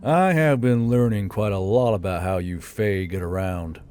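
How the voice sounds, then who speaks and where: A man voicing a gruff, weary military commander, terse and low-voiced, in a fantasy audiobook. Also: normal speed, a little mellow, faint music behind, natural voice. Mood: guarded.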